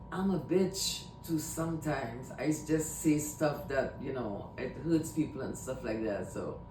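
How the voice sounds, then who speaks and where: A woman from Trinidad and Tobago with a Trinidadian accent, talking casually to camera in a rambling way and often repeating herself. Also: a little mellow. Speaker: vlogger. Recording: echoey room, mic at a distance, faint rumble.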